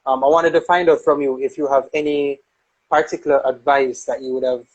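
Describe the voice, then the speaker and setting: A man's voice: mid-range voice, fairly even intonation, a little fast. A young man hosting a casual interview, tentatively phrasing and rephrasing his questions. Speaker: interviewer, host. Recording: slightly muffled, lo-fi sound.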